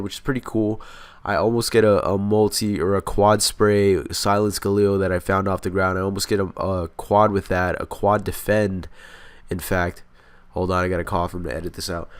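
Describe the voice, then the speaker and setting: A man's voice: sits low, clean tone, no echo. A congested, sick man talks in casual, rambling, profane gaming commentary, speaking inches from the microphone. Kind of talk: casual commentary over gameplay. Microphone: close mic, inches away.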